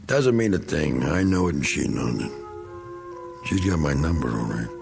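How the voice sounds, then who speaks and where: An actor playing a bitter, self-pitying middle-aged American war veteran in a radio drama, talking in a folksy, rambling, needling way. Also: male voice, extremely low pitch, lively intonation, moderate pace, creaky, over music, exaggerated voice. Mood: bitter, brooding.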